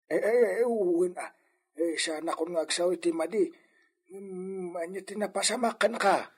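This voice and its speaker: A man's voice: sits high, normal speed, husky. A man with an Ilocano Filipino accent playing a chatty, folksy old ranch hand who rambles and stammers in a comic radio drama. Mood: surprised, concerned. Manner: rambling, stammering, exclaiming, chuckling to himself.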